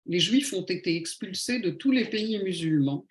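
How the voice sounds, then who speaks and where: A woman giving a prepared public lecture in a didactic, rhetorical manner, with emphatic phrasing and deliberate pauses. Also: fairly low pitch, lively intonation. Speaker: lecturer, conference speaker. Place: indoor room at a public talk. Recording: echoey room.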